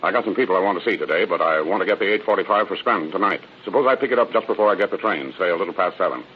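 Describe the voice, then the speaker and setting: An actor playing a cool American federal agent posing as a buyer, brisk, confident and slangy, in an old radio drama. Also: male voice, low voice, natural voice. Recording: muffled old radio recording with hiss.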